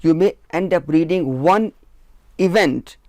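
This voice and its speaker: A man's voice: on the high side, unhurried, darkish tone, dry recording, clean studio sound. A man, a researcher, explaining his points at length in a discussion, methodical and firm, counting them off one by one. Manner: emphatic, firm. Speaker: researcher of religious literature.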